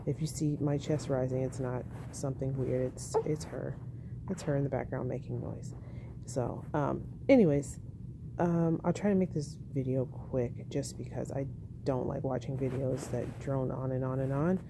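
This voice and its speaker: A young woman, a tired new mother, telling her birth story in a casual, monotone vlog at home, speaking softly with a baby on her chest. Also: normal speed, vocal fry, noisy background. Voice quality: monotone, low-key.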